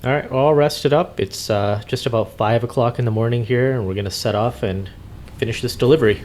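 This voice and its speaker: A man's voice: medium pitch, a little fast, darkish tone, soft background music. A man doing casual, hesitant improvised roleplay commentary while playing a trucking game. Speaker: host playing a truck driver character.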